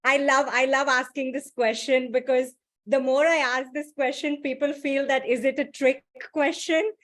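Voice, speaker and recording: Woman, fairly high voice, online workshop host, brisk, friendly, lots of ums and uhs, video call. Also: fairly even intonation, fairly bright tone. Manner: brisk, friendly, uses many fillers. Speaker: workshop facilitator.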